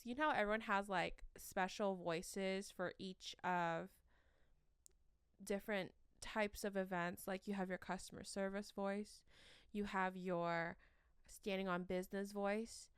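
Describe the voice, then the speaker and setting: A young woman hosting a casual solo podcast from the corner of her bedroom, with a shrill voice, rambling and self-mocking between fillers, sleepy. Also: takes it slowly, clean tone, dry recording. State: sleep-deprived. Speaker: podcast host.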